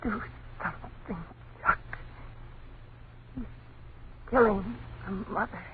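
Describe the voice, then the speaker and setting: An actress playing a frightened, protective wife and mother in an old radio drama, breathless, halting and pleading. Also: medium pitch, narrow, tinny audio, lightly acted. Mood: horrified. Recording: thin, noisy old radio recording.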